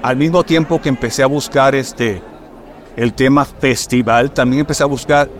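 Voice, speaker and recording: A middle-aged film director from Puerto Rico with a mixed Caribbean and Mexican Spanish accent, chatting casually and telling stories with lots of fillers. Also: male voice, mid-range voice, steady tone, normal speed, some chatter behind. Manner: chatty, storytelling, fillers like "este". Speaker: film director, podcast guest. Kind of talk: casual conversation.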